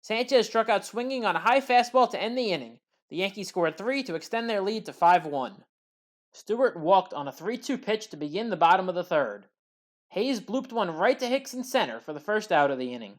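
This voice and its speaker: A woman giving a scripted play-by-play recap of baseball highlights in a measured, factual way. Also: lively intonation. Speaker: highlights commentator.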